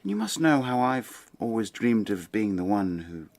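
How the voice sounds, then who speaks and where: An actor playing an earnest, protective, lovelorn Victorian gentleman, speaking with halting passion in a radio drama. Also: on the low side, sing-song, vocal fry, noisy background. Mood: pleading, protective. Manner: impassioned, halting.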